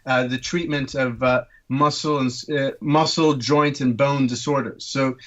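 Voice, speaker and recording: A man's voice: mid-range voice, steady tone, unhurried, a bit bright, highs cut off. A man in his thirties from Turkey, guest on a casual podcast, explaining things with many ums and uhs. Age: 30s. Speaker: guest orthopaedic surgeon.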